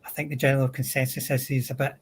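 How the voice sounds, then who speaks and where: A man with a husky voice chatting casually as a guest on a hobby livestream. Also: mid-range voice, little pitch movement, fast talker.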